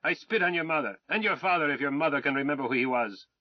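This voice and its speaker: A man's voice: on the high side, expressive, quick pace, no echo, voice pushed up, natural voice. A man voicing an arrogant, blustering older colonel, speaking in a pompous, commanding way. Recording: dull, dry sound.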